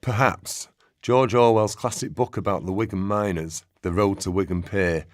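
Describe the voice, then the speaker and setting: Male narrator, low-pitched, scripted radio documentary delivery, very little room sound. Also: steady tone, moderate pace, dry recording, studio quality.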